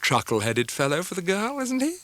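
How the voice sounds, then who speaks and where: A man voicing a dashing young gentleman in an audiobook, self-assured and languid, with an upper-class English accent. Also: sits high, wildly swooping pitch, a little fast, no room sound, voice pushed up, heavily acted. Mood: amused disdain.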